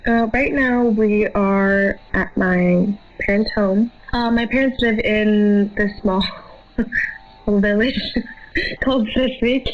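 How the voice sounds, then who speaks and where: A woman's voice: mid-range voice, steady tone, takes it slowly. Informal teenage woman, muffled recording. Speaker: interview guest. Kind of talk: casual chat. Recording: muffled, lo-fi audio.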